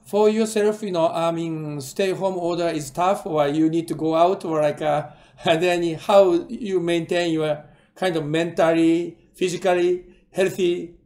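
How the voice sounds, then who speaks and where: Higher-pitched male interviewer. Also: fairly even intonation, a little mellow. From Japan. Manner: halting non-native phrasing, frequent listening murmurs. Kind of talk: conversational interviewing over a call.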